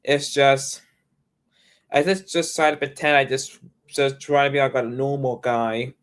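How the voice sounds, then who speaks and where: Rambling young male livestreamer, smooth voice. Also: medium pitch, flat delivery, unhurried. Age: young adult. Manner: rambling, restarts sentences often. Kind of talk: casual livestream chat.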